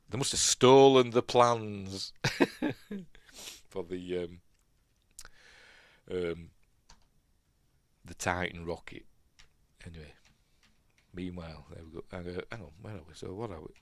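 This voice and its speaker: A man with a Yorkshire accent hosting a casual film commentary, rambling and musing aloud, often second-guessing himself and trailing off quietly. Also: lowish voice, animated, slow, pauses often, no room sound. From Yorkshire, England. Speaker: film review host.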